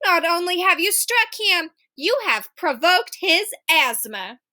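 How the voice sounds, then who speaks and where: Woman playing a storybook foster mother, high-pitched, doting, indignant, fussing, haughty. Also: sing-song, slow, pushed and bright, no room sound, heavily acted. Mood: outraged.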